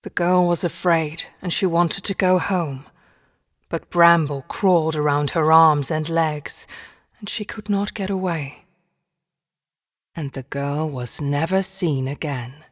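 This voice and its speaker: A woman narrating a dark fairy tale for a video game in a measured, solemn storytelling manner. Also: sits low. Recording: long reverberant hall-like echo.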